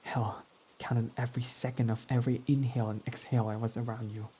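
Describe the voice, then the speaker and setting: A man's voice: medium pitch, level tone, brisk, dark tone, a little hiss or hum, lightly acted, rough recording. A young man voicing a brooding, foul-mouthed villain who is guarded but devoted, speaking in an intimate, confessional way close to the mic. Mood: anxious confession. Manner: intimate and confessional, swearing freely. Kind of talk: ASMR roleplay audio drama.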